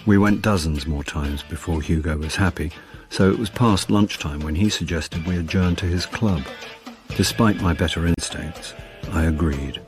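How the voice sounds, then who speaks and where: An actor playing a wry, world-weary middle-aged British jobbing actor with a dry, self-deprecating manner, in a radio drama. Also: male voice, low-pitched, normal speed, soft background music, lightly acted. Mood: wry, confiding. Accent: British English. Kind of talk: radio drama acting with first-person narration.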